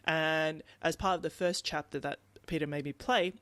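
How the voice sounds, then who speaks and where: A woman's voice: sits low, steady tone, normal speed, fairly bright tone, no room sound. A woman chats as a podcast co-host in a dry recording with little room sound. Her delivery is conversational storytelling, and she slips into voicing her own thoughts as she explains.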